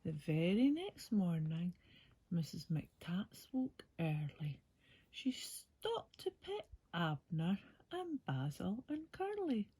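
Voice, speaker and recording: Breathy woman reading a bedtime story. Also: on the low side. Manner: expressive, unhurried, with lilting emphasis. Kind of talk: bedtime picture-book reading in rhyming verse.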